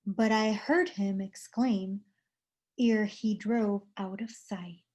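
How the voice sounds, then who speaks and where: A woman's voice: mid-range voice, fairly even intonation, slow pace, a little mellow. A woman reading to children as a storytime host, in a storybook style.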